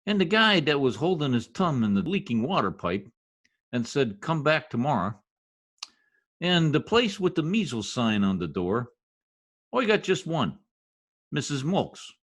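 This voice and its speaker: A man's voice: medium pitch, big pitch swings, measured pace, pauses often, a bit bright. A man playing a folksy, bumbling middle-aged American husband in a radio comedy, slangy and pompous. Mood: self-important. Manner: slangy, pompous, mangles words. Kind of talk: old-time radio comedy acting.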